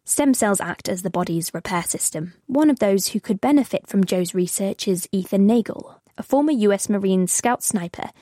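Woman reporter with a mellow, dry voice. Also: mid-range voice, lively intonation, moderate pace, few pauses, dry recording. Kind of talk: news report narration.

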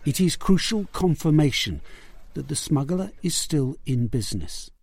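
A man's voice: a little high, lively intonation, normal speed, gravelly, darkish tone. A man narrating a scripted investigative news report as a correspondent.

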